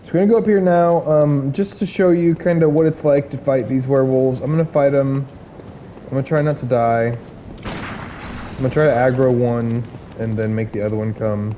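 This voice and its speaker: A man giving casual gameplay commentary, hoarse from illness, rambling with many fillers and restarts. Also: mid-range voice, fairly even intonation, moderate pace, dark tone, lo-fi sound, slight background noise.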